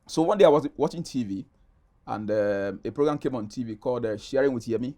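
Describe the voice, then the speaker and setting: A man's voice: mid-range voice, brisk, dark tone. Male interview guest, middle-aged, Nigerian accent, emphatic conversational storyteller. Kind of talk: animated personal storytelling in conversation.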